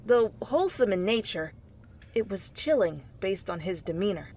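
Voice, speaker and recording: A woman reading fan fiction aloud as an expressive second-person narrator in an ASMR style. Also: mid-range voice, normal speed, a little hiss or hum. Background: faint music.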